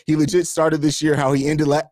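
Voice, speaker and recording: A hoarse man with a raspy voice hosting a live fan stream, talking emphatically and repeating himself, with casual swearing. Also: fairly high pitch, flat delivery, a little fast, darkish tone, no room sound, studio quality. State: hoarse from screaming. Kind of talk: excited sports fan stream chat.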